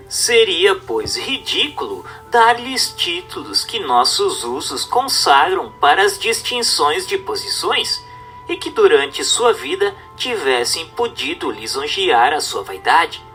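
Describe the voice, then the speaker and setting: Male narrator, bright and higher-pitched, solemn scripted religious reading over music.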